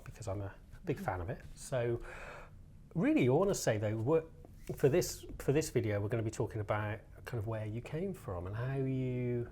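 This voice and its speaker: A man's voice: mid-range voice, warm tone, a little hiss or hum. A man hosting a casual interview, chatty and rambling, with lots of small agreeing sounds. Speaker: interview host.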